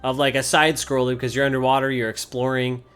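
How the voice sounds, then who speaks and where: A man's voice: medium pitch, level tone, quick pace, fairly bright tone, clean tone. A man giving casual, conversational gameplay commentary as the host of a video game series. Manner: informal, conversational. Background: game music.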